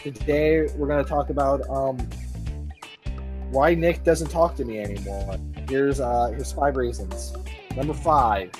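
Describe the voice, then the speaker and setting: A man's voice: medium pitch, a little slow. Brief: young male podcast host, goofy and self-deprecating, faint music behind. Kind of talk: casual comedic podcast chat.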